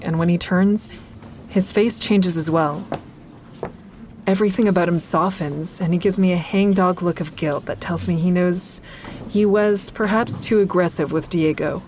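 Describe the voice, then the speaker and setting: A woman's voice: on the low side, darkish tone, slight background noise, natural voice. An actress playing a wry stand-up comedian who narrates her own story conversationally in an audio drama.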